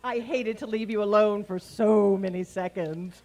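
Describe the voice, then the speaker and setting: A woman's voice: medium pitch, fairly even intonation, unhurried, mellow, natural voice. A woman playing a wry, lovestruck, scheming schoolteacher in a vintage radio sitcom, arch and gushing with endearments. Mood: gushing, sweet. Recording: noisy live raw event recording.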